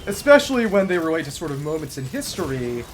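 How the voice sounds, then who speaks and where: A man's voice: on the high side, big pitch swings, fast. A man livestreaming a video game, giving casual, bookish commentary that wanders into long tangents. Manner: bookish, discursive, digressive.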